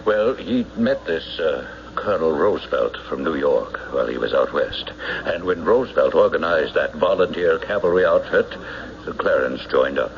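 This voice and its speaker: A very deep, folksy small-town banker. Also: male voice, animated, creaky, full character voice. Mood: wry, hesitant. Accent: American. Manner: hemming, folksy, drawn-out recollection. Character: gossipy, hesitant small-town male banker. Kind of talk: radio mystery drama acting. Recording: muffled, hissy old radio recording.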